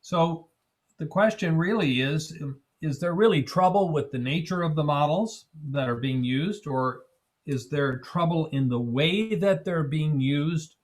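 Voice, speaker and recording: A man moderating a panel discussion on a video call, speaking in a measured way with frequent fillers. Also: a little high.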